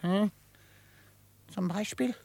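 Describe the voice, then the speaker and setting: A man playing a quirky old frontier trapper in a radio drama, excitable and grumbling, gruff but fond, with a crackly voice. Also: sits high, slow, no room sound. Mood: grudging. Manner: excitable, grumbling, chuckling, theatrically indignant. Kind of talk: German radio drama acting.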